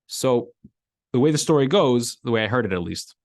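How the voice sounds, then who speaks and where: A man's voice: medium pitch, a little fast, no room sound, studio quality. A man from Brooklyn, a rabbi giving a casual weekly Torah class in an emphatic, repetitive style. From Brooklyn, USA. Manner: animated, repeats phrases, emphatic rising lines. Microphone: microphone in class.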